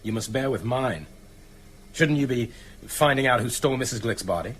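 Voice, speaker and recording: Guarded, formal doctor. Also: male voice, mid-range voice, expressive, moderate pace, fairly bright tone, slightly rough. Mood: firm, defensive. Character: measured, firm male small-town doctor. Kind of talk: radio drama acting.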